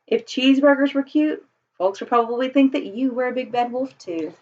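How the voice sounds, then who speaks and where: Casting: folksy wronged wolf for a picture book woman reader, high-pitched, chatty and excuse-making, muffled recording. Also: fairly quick, darkish tone, natural voice. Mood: self-justifying. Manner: conversational, self-justifying, mock-innocent. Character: aggrieved, folksy male wolf telling his side. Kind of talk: children's storybook read-aloud. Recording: dull lo-fi recording.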